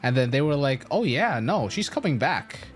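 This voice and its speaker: A game streamer giving casual, slangy, excitable live commentary while playing. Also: medium pitch, moderate pace, soft background music.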